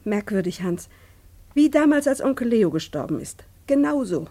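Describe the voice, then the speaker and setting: A woman's voice: fairly high pitch, very animated, normal speed, mellow. Anxious, brooding middle-aged mother. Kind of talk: German radio play acting.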